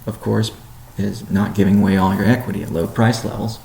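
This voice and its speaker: A man in a company chief's role works through listener questions on a weekly call, slow and deliberate in a businesslike tone. His voice sits fairly low and carries a gravelly edge. The room around him gives it a noticeable echo. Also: flat delivery, dark tone, a little hiss or hum. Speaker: company CEO answering community questions.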